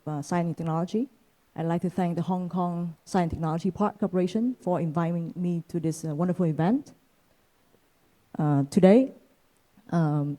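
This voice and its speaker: A woman in her thirties from Vietnam delivers a keynote from a stage. Her voice sits low and sounds dark and mellow. She speaks in a measured way, pausing on "uh" fillers between points. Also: poor audio. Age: 30s. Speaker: keynote speaker, venture capitalist. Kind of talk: conference keynote talk. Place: conference stage.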